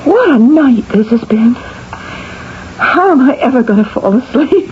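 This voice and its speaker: An actress playing an effusive, excitable wife with a gushing, theatrical manner in an old-time radio drama. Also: female voice, sits high, slow. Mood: astonished. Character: effusive, excitable wife, formerly envious of her rich sister. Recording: dull, hissy old radio recording.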